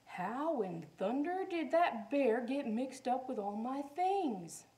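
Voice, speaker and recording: A kindly storybook artist, a thoughtful man musing aloud, as a woman reader puts him on. The delivery is animated and mildly puzzled. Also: sits high, takes it slowly, recorded from a distance, pitched above the performer's own voice, heavily acted. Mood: baffled.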